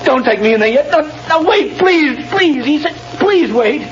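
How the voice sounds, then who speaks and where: Shrill, terrified condemned prisoner. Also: male voice, expressive, normal speed. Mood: terrified, pleading. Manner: frantic, repetitive pleading. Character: terrified condemned male prisoner pleading for his life. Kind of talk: 1930s radio drama acting. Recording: dull vintage radio broadcast with music.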